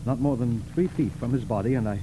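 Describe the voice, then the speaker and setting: An actor playing a shaken, defensive crime victim in a 1940s radio drama, hesitant and earnest. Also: male voice, medium pitch, fast talker, lightly acted. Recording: noisy vintage radio drama recording.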